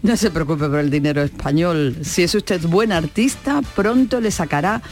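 A man's voice: fairly high pitch, normal speed, faint music behind, natural voice. Man playing a haughty, flirtatious bohemian lady. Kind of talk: radio sketch acting.

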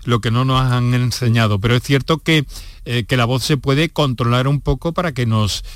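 A man's voice: medium pitch, moderate pace, no echo, studio quality. A man with a Spanish accent from Spain, hosting a radio programme in a conversational style. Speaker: radio host.

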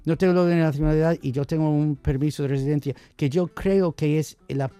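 A man's voice: fairly high pitch, steady tone, a little fast, dark tone, smooth, soft background music. A middle-aged American man with an American English accent, a wine expert guest chatting hesitantly and with good humor, often repeating his words. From United States. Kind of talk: casual interview chat.

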